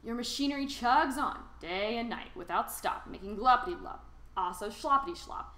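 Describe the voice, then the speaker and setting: Woman playing an indignant high-pitched forest creature. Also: lively intonation, moderate pace, fairly bright tone. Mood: angry, lecturing. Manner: scolding, emphatic, declamatory. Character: indignant old forest guardian creature, bossy and scolding. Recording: roomy, echoing sound.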